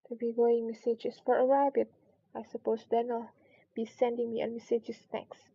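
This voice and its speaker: A woman plays a curious little girl from a storybook, high in pitch. She is polite and wistful, musing earnestly to herself. The sound is close and dry, a little muffled. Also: little pitch movement, moderate pace, creaky, breathy edge, dry recording.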